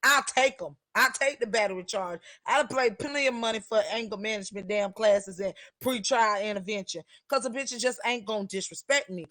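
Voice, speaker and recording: A woman's voice: mid-range voice, fairly quick, few pauses, pushed and bright, no echo, clean studio sound. A woman in her thirties, a teacher, talking bluntly and profanely in a heated casual discussion. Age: 30s.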